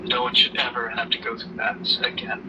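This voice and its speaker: Guy doing a gentle sad dad in a fan audio drama, halting n reassuring, kinda far from the mic, noisy recording. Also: mid-range voice, monotone, natural voice. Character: gentle, protective grieving father of a grown daughter. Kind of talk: amateur audio drama dialogue.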